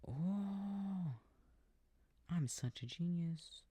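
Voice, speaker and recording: A man softly rambling to himself as he plays a video game for a sleep aid, often dropping into whispers, with a breathy, gravelly voice close to the mic. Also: sits high, expressive, drawn-out words, no room sound. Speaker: audio creator playing a video game. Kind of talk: soft rambling gameplay talk for a sleep aid. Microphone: close, dry microphone.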